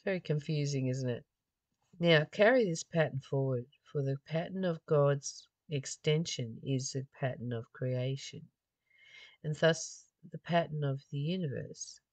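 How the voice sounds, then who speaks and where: A person reading a spiritual text aloud and adding informal, reflective commentary, pausing mid-phrase in a halting way. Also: medium pitch, no room sound. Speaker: spiritual study reader and commentator.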